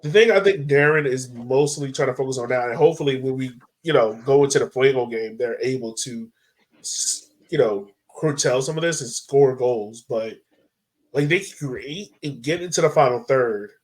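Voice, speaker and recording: A man co-hosting a podcast, chatting casually about sport in slangy, animated talk. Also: mid-range voice, normal speed, low-quality recording. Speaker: podcast co-host.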